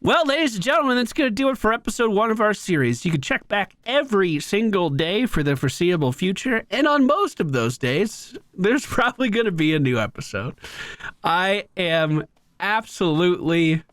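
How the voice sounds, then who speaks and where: Lively higher-pitched man doing gameplay commentary. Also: moderate pace, no room sound. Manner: playful, jokey, talks to the game. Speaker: gaming video host. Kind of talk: excited casual gameplay commentary.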